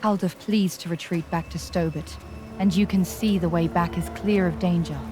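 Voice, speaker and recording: A woman with a fairly low voice narrates a fantasy audio drama. Her delivery is scripted and dramatic. Faint music plays underneath. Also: fairly even intonation, normal speed.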